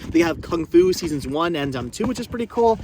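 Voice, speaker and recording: A man's voice: on the high side, expressive, fairly quick, darkish tone. A man chatting casually as he hosts a vlog, rambling and often repeating and restarting his phrases. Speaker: vlogger hosting a flea market thrifting video. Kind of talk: casual unscripted vlog chat to camera and companions. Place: outdoors at a busy flea market. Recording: noisy outdoor recording with vehicle ambience. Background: outdoor market ambience and vehicles.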